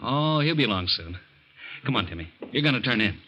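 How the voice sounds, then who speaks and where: An actor playing a young reformed safecracker who talks earnestly and protests defensively in a 1940s radio drama. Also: male voice, mid-range voice, sing-song, fairly quick, noisy background, natural voice. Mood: gentle. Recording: dull old radio broadcast.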